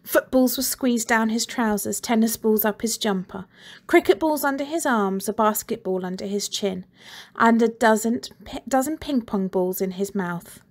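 A woman teacher reading a children's storybook aloud with lively expression and playful sound effects. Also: on the high side, normal speed.

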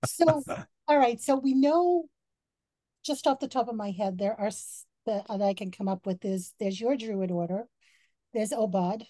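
A woman's voice: mid-range voice, mellow, no room sound. A woman hosting an interview, asking curious, chatty questions with a few hesitations and restarts. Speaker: host.

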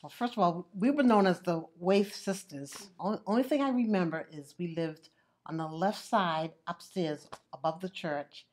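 A woman's voice: lowish voice, moderate pace, darkish tone. A woman nostalgically recalling growing up above the church, in an interview. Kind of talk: interview reminiscence.

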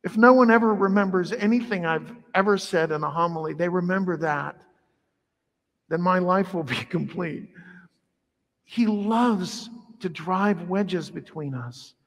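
A middle-aged priest preaches in a lively, conversational way, his voice sitting high for a man. The sound is caught from across a large church sanctuary, with a long reverb trailing each phrase. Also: measured pace. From Ireland heritage. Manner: animated, conversational preaching with wide arm gestures. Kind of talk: sermon.

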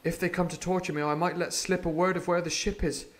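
A man voicing a frightened, earnest teenage cabin boy in a storybook reading, pleading and high-strung. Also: level tone, fast talker, fairly bright tone.

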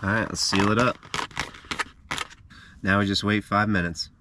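A man in his thirties casually narrating a camp breakfast to the camera from inside a parked van, chatty and relaxed. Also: on the low side, normal speed, background noise. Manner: relaxed, chatty, walks through each step. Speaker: travel vlogger. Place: inside a parked van, small enclosed space. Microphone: camera mounted in the vehicle.

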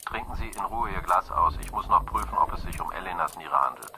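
A man's voice: deep voice, expressive, fast talker, noisy background. A man playing a businesslike police colleague heard through a phone filter in a German crime radio play.

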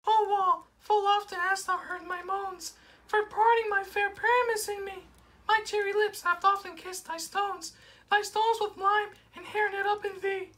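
Very high-pitched lovelorn puppet maiden. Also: female voice, fairly even intonation, fairly bright tone, distant mic. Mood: lovesick. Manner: overwrought, declamatory, drawn-out. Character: lovelorn melodramatic maiden Thisbe, a sock puppet. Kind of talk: amateur puppet-show Shakespeare verse.

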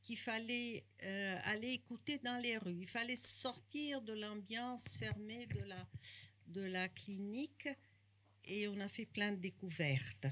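A woman's voice: mid-range voice, sharp tone. An older woman, speaking French at a bookshop talk on a handheld mic, reflective and full of restarts. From Brazil. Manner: unhurried, reflective, hesitant restarts. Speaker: author and psychoanalyst. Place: bookshop, indoors.

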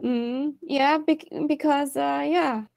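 High-voiced woman with a Hungarian accent. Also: fairly even intonation, slow pace, creaky, a little mellow, dry recording, studio quality. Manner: hesitant, restarting, curious, laughing lightly. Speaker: meeting moderator. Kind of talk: casual chat on a video call. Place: small room at home, indoors. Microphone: earphones with a cable mic on a video call.

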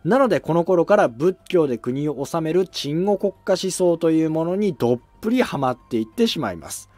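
A young man hosting a history channel, explaining events in a polite, casual way with drawn-out fillers, recorded on a lapel mic in a studio. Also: on the high side, expressive, darkish tone, no echo.

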